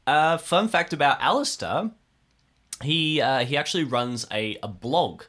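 A man's voice: on the high side, animated, unhurried, a bit bright. A man chatting casually and playfully as a cast member of an improvised drama podcast. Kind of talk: casual chat introducing a character.

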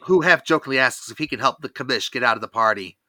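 A podcast host telling a cartoon episode recap in a lively, theatrical storytelling manner. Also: medium pitch, fast, dry recording.

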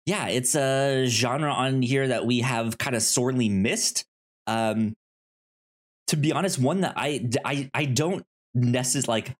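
A man co-hosting a podcast in casual movie chat, speaking haltingly with frequent repeated words and false starts. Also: fairly low pitch. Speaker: podcast co-host.

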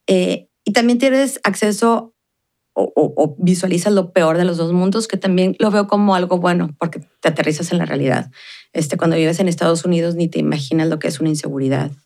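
Earnest low-voiced woman. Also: a little fast, a little mellow. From Mexican border region. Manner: earnest, reflective, with many fillers. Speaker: podcast guest. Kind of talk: casual conversation.

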